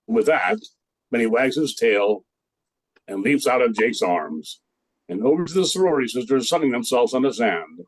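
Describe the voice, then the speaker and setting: A man's voice: mid-range voice, sing-song, moderate pace, no echo, rough recording. An older man narrating a scripted radio play as its storyteller.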